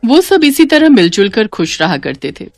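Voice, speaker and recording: A woman's voice: a little high, sing-song, normal speed, no room sound, clean studio sound, soft background music. A woman reading scripted narration for a moral story.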